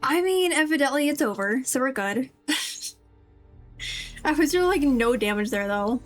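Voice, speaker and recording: A woman's voice: sits high, lively intonation, a little slow, soft background music. A woman streaming a video game, chatting casually in a rambling, playful, self-deprecating way.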